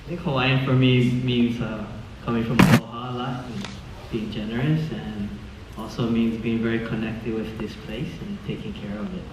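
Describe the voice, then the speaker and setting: A man answering a Q&A calmly and thoughtfully after a screening. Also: medium pitch, normal speed, noisy background. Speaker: panel guest artist. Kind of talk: reflective Q&A answer. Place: large hall at a film screening. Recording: echoey hall from far off.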